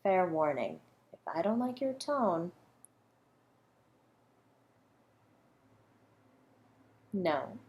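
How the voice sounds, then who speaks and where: A woman playing a self-assured academic under suspicion of murder in a flirty audio roleplay, teasing and composed, with deliberate, condescending delivery. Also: on the low side, big pitch swings, muffled recording. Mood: smug, teasing. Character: coy, self-assured female academic, a murder suspect toying with detective. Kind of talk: flirty audio roleplay, one-sided dialogue.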